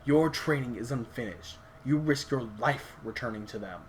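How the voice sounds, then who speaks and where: A man voicing a haunted male swordsman who speaks in a low, cool and measured way. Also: a little hiss or hum, natural voice, rough recording.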